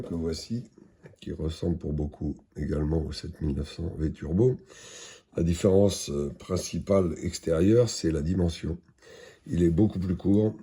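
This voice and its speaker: A man giving an unscripted product demonstration in a halting, matter-of-fact, explanatory way. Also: deep voice, dark tone, slightly rough. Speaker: product presenter.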